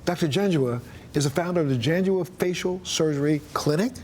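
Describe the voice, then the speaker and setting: Fast-talking upbeat male TV host. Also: a little high, darkish tone, a little hiss or hum. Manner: upbeat, chatty, enthusiastic. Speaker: TV show host. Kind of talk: TV interview.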